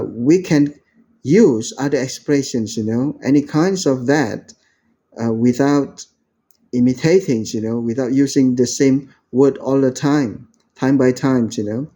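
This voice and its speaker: A man's voice: medium pitch, mellow. A man from Indonesia giving an informal lecture, rambling with many fillers and "you know", and acting out quoted lines in a raised voice. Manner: acts out quoted speech in a raised voice.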